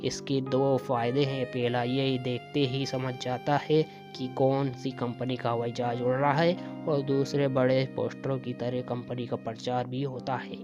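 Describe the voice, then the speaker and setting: A man's voice: medium pitch, little pitch movement, measured pace, few pauses. A man reads a scripted explainer narration in short phrases, stumbling now and then. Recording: dull lo-fi voice track. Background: music with guitar.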